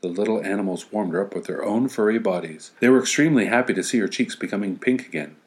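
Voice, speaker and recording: A man's voice: on the low side, fast. A man reading a story as narration, with wry, playful asides. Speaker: story reader.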